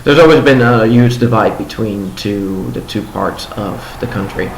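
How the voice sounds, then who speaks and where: A young man whose first language is Dutch hosts a casual daily news vlog. He talks with many ums and restarts, and his voice sits a little low. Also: a little hiss or hum. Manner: halting, frequent ums and restarts. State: voice a little low after a concert. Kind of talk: casual news rundown with commentary. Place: home room in Los Angeles. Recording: roomy home recording with faint ambience.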